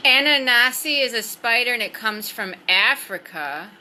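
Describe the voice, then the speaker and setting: A woman's voice: fairly high pitch, animated, moderate pace, pushed and bright. A woman helping on a livestream, casually relaying viewer comments and chatting. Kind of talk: casual chat. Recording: faint background noise.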